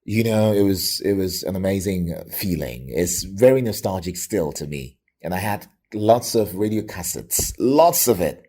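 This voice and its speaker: A middle-aged Nigerian man with a Nigerian accent, telling his own story reflectively in an interview with a radio presenter's deliberate, emphatic delivery. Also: deep voice, expressive, mellow, breathy edge. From Lagos, Nigeria.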